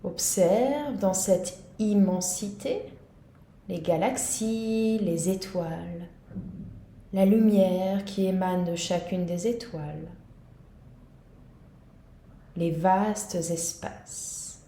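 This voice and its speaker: A woman guiding a yoga nidra meditation in a calm, gentle, soothing manner. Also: lowish voice, very slow, pauses often, reverberant. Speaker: meditation guide.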